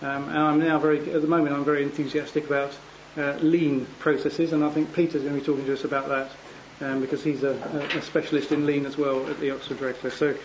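A man's voice: on the high side, flat delivery, fast talker, muffled recording, a little hiss or hum. A man chairing a conference panel session, speaking with frequent ums and restarts. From England. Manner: hesitant, many ums and restarts. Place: conference hall.

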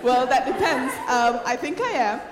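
High-voiced woman answering in an echoey hall. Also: animated, normal speed, crowd noise. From Sri Lanka. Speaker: human test subject answering questions. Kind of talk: short spontaneous answers.

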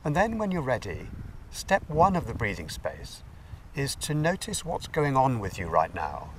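A man leading a guided meditation as a teacher, giving gentle, unhurried instructions with pauses. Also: mid-range voice, huge pitch swings. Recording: distant mic, faint background noise.

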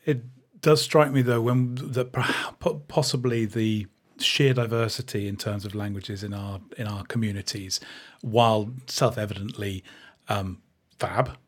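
A man interviewing a guest, asking thoughtful questions in a hesitant, halting way. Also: lowish voice, fairly even intonation.

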